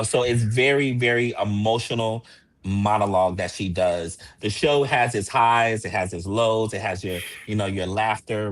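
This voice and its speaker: A man's voice: lowish voice, no echo. An American man speaking earnestly and emphatically as an interview guest, in casual promotional talk. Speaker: interview guest from a stage production.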